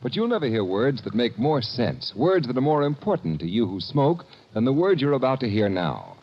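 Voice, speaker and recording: A man's voice: mid-range voice, big pitch swings, moderate pace. A male announcer earnestly reading a scripted cigarette ad on old radio. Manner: earnest, persuasive. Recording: dull old radio broadcast.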